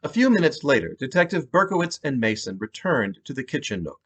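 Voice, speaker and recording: An American man narrates his own fiction aloud as an author. His pitch is middling but moves widely, and his voice has a slightly rough, husky grain. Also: big pitch swings, brisk, fairly bright tone. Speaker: author reading own novel. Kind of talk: scripted narration, reading aloud. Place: home basement.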